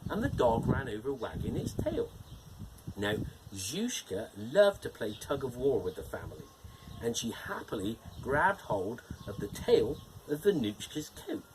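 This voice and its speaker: A cheerful, lively gnome storyteller. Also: male voice, mid-range voice, measured pace, noisy background. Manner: animated, playful storytelling with teasing jokes. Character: cheerful male gnome storyteller, friendly and playful. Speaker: storyteller host. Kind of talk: bedtime fairytale reading.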